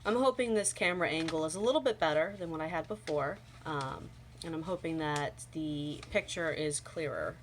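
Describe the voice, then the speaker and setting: A young woman, a little hoarse from a cold, walks through folding steps in a casual, soft-spoken way. Her voice sits on the low side, and she fills pauses with ums. Faint home ambience stays in the background. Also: slight background noise. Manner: soft-spoken, many ums, explains step by step. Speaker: origami tutorial host. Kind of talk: casual how-to demonstration. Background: faint home sounds, toddler playing.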